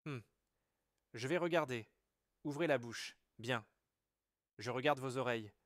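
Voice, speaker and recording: A man reading everyday French dialogues for learners, with clear, measured scripted delivery. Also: mid-range voice, dry recording, studio quality, natural voice.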